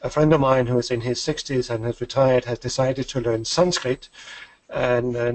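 A man presenting a webinar over a video call, measured and explanatory. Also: medium pitch, a little mellow, rough recording. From Denmark.

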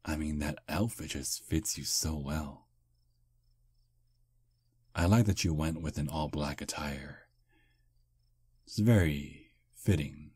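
A man voicing a charming, polite male vampire on a first date, speaking softly and flatteringly in an ASMR roleplay. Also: very deep voice, takes it slowly, long pauses, husky, no room sound, heavily acted. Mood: smooth flirting. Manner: soft, flattering, gentlemanly. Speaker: voice actor.

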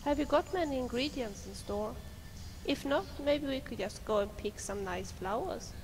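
A woman voicing a ghostly woman archer who acts as a talkative companion in a video game, speaking gently and conversationally. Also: a little high, animated, moderate pace, faint music behind. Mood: wistful.

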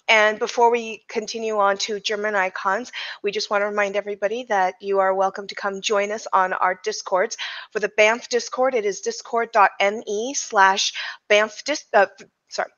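A woman's voice: medium pitch, sharp tone. A young woman co-hosting a podcast over a headset video call with dull audio, making announcements and handing over to guests. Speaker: podcast co-host. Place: small home room.

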